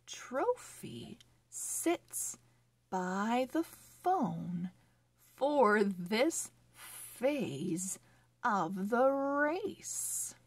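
A woman hosting a children's read-along phonics lesson, speaking in a sing-song, encouraging way with deliberate, exaggerated enunciation. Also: fairly high pitch, big pitch swings, slightly rough, dry recording.

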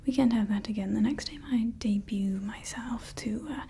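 A woman voicing a scheming female evil scientist who calls herself Mother, in a slow, soft, conspiratorial whisper for ASMR roleplay on a close microphone. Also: a little high, breathy edge. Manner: slow, intimate, conspiratorial ranting. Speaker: ASMR creator.

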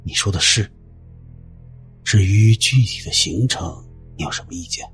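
A man voicing a gentle, courteous, thoughtful Taoist cultivator in an audio drama, speaking formally and deliberately. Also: lowish voice, slightly rough, soft background music. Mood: agreeable, measured.

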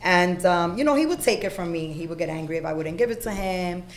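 A middle-aged woman born in Puerto Rico, a podcast guest telling her life story frankly, with plenty of ums and you-knows, on a desk boom mic in a small studio. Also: animated, fast, echoey room. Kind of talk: candid life story in conversation. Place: small studio room with acoustic panels.

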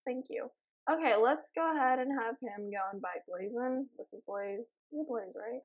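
Raspy young woman chatting while gaming. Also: on the high side, normal speed, rough recording. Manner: chatty, rambling, giggly, with many ums. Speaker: gaming channel host. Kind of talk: casual let's play commentary.

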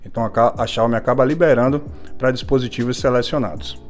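A man's voice: medium pitch, steady tone, quick pace. A man with a Brazilian accent giving a casual, informal hands-on tech review, often adding little check-in tags. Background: music.

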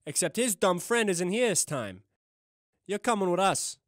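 A man's voice: high-pitched, lively intonation, normal speed. A man playing a pompous, blustering police boss in an audio drama, giving commands. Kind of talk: audio theater acting.

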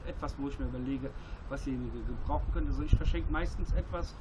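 A man's voice: medium pitch, fast talker. A middle-aged man chats casually and bluntly, giving a hands-on gear review in colloquial, mildly profane words. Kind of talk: casual hands-on product talk. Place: outdoors at a table by a metal-walled building. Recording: muffled outdoor recording, loud traffic noise, clicks. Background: loud passing vehicle noise, clicking of handled items.

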